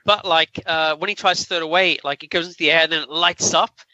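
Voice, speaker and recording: A man's voice: fairly high pitch, steady tone, quick pace, pushed and bright, muffled recording. A man casually recapping a film plot as a podcast co-host.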